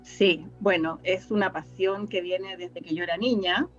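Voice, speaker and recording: A woman's voice: on the low side, fairly even intonation, a little slow, muffled recording, soft background music. A woman with a Chilean accent chatting casually as an interview guest, searching for her words as she goes. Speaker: interview guest, radio drama writer and director. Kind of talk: casual reminiscing conversation.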